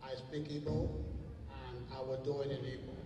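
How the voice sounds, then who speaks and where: An older man giving a solemn, drawn-out ceremonial speech on stage at a gala, holding a handheld mic. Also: mid-range voice, flat delivery, noisy background. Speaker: libation speaker at a gala. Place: large hall, on stage. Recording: very echoey hall, distant mic.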